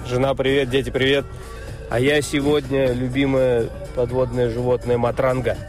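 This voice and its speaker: A man's voice: mid-range voice, little pitch movement, normal speed, darkish tone, soft background music. A man leaving a casual, jokey greeting as a radio listener, clowning around.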